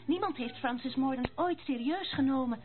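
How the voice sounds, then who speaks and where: A woman's voice: high voice, moderate pace, faint music behind, close to an everyday voice. An actress playing a prim young society lady who gossips in a dismissive, catty way in a radio play. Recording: tinny, narrow old radio play recording.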